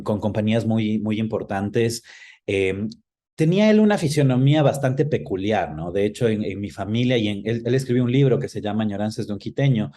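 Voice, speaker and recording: A man with an Ecuadorian accent speaks as an interview guest in a low, very mellow voice. He explains and reminisces conversationally, often checking in with short tag questions.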